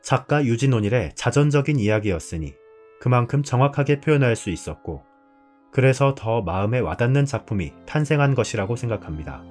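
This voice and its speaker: A man's voice: mid-range voice, lively intonation, brisk, darkish tone. A man hosting a scripted review show, narrating and reflecting on a drama in a storytelling style. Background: background music.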